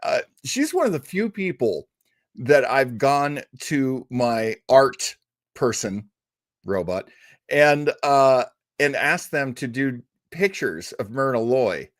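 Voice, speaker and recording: A man hosts a livestream in a casual, enthusiastic way, dotting his talk with "uh" and "you know." His voice rises and falls in a lively way. The sound is dry and close. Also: mid-range voice, slow pace, no room sound. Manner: chatty and enthusiastic, with many fillers. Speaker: host of a livestreamed radio-show listening party.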